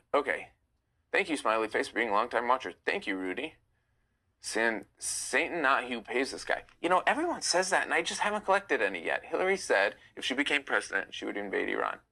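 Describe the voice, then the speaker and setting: A young man with a bright, forceful voice talks close to the mic as he hosts a live stream. He chats casually and reads out viewer comments, and his voice climbs higher when he gets worked up. The pitch sits in the middle for a man. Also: big pitch swings, a little fast, vocal fry. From United States. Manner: chatty, opinionated, gets heated and rises in pitch.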